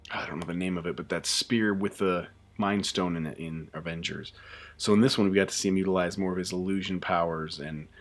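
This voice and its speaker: A man hosting a live reaction stream, chatting casually with lots of fillers and restarts. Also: deep voice. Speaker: YouTube host reacting to a show.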